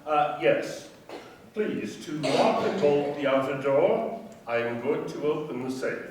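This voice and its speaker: A cautious, formal gem dealer in a radio play. His voice is slightly high and his delivery slow and courteous. He sounds off mic in a very echoey room with faint noise. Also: lively intonation, darkish tone, recorded from a distance. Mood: measured, cautious.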